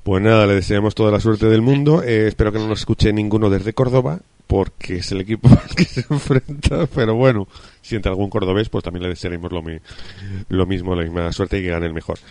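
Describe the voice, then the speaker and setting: A man's voice: fairly low pitch, brisk, darkish tone, dry recording. A man with an accent from Spain, hosting a casual radio football discussion in an effusive, colloquial way.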